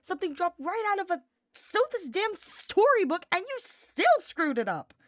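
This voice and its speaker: A brash young knight played by a woman narrator in a fantasy story, pitched well up and loud. He talks informally, with teasing, exasperated disbelief. The sound is dry and close. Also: very animated, moderate pace, no room sound, heavily acted. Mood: incredulous teasing.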